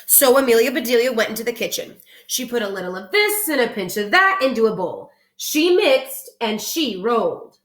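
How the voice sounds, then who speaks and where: A woman speaking as an elementary teacher, expressive and encouraging, in simple words for children and their families. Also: on the high side, moderate pace, hardly any pauses. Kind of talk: children's read-aloud with casual talk to families.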